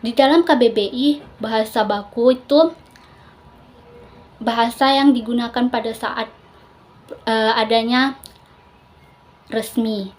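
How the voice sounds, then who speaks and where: A woman's voice: on the high side, slow, pauses often, darkish tone. A young adult woman, a student giving a scripted presentation with explanatory asides. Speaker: university biology student presenting an assignment.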